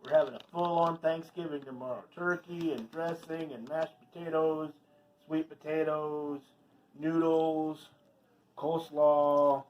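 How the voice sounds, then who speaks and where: A man's voice: fairly high pitch, fairly even intonation, measured pace, darkish tone, poor audio. A middle-aged man chatting casually as a co-host, chiming in with off-hand asides in a home kitchen. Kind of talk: casual chat. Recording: off-camera, away from the microphone.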